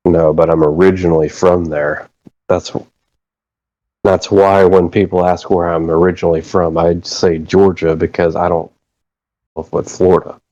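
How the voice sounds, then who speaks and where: A man from the United States, a guest on a casual phone call, talking in a hesitant, halting way. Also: sits low, flat delivery, mellow, no echo.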